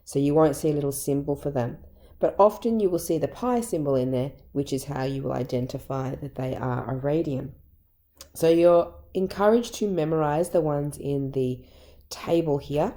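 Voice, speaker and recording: A woman teacher with an Australian accent and a croaky voice, patiently explaining a maths lesson step by step. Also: low voice, normal speed, warm tone. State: croaky voice from illness.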